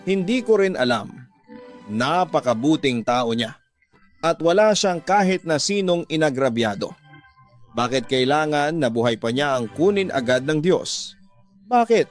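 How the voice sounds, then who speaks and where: A man's voice: fairly high pitch, animated, measured pace, faint music behind. A man voicing a grieving widowed mother in a radio drama, wailing and pleading in despair. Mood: anguished grief.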